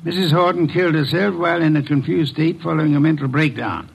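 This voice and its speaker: An actor playing an imperious, eccentric older detective in a vintage radio mystery, speaking formally and deliberately with pompous weight. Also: male voice, on the high side, gravelly, slightly rough, muffled recording. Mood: solemn, expository. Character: imperious, eccentric genius male private detective. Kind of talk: 1950s American radio mystery acting. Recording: vintage radio drama recording.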